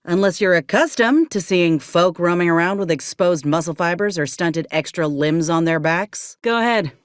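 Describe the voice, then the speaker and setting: Actress as gruff merc lady, ex combat medic in a game, guarded n sardonic, really lively pitch, dry studio sound. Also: moderate pace, no echo, close to an everyday voice. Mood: guarded, matter-of-fact. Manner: folksy, blunt, sardonic. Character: tough, guarded, weary former combat medic turned mercenary troubleshooter. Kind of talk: video game character acting.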